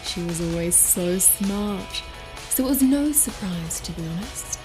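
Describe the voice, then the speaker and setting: A woman's voice: mid-range voice, animated, measured pace, music in the background, lightly acted. Casting: female actor as an eager, friendly inventor for audio drama, mid-range voice. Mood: friendly, excited. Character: friendly, enthusiastic adult female workshop tinkerer.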